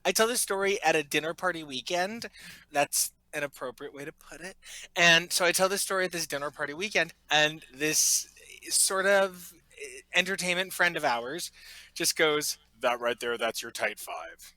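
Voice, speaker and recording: A man guesting on a podcast, telling stories in a loose, animated way with crude jokes and frequent laughs. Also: fairly high pitch, moderate pace, no room sound, low-quality recording. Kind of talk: casual podcast chat.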